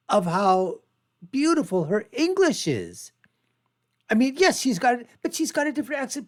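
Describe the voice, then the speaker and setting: An American-born woman hosting a panel discussion, talking emphatically and sometimes mimicking what others say. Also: medium pitch. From United States. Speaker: show host. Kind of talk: opinionated panel talk.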